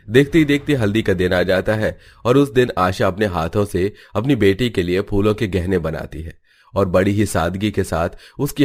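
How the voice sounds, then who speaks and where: A man's voice: sits low, a little mellow. A man giving scripted storytelling narration for a cartoon.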